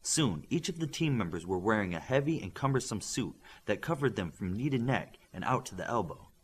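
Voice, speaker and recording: A man reads an adventure story as an audiobook narrator. His voice is mid-pitched and a little rough-edged, with lively swings in pitch as the story unfolds. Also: brisk. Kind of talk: scripted fiction narration.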